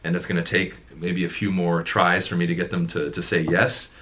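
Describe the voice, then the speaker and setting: Male sales coach, very low and deep, emphatic, casual talk. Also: little pitch movement, moderate pace, a bit bright. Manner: emphatic, repeats words when making a point. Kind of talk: casual conversation.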